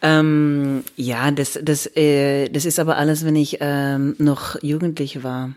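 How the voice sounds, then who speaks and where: A man's voice: fairly high pitch, flat delivery, takes it slowly, a little mellow. Hesitant man speaking German. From Netherlands. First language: Dutch. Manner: hesitant, many fillers. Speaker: guest, comic artist. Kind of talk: interview answers.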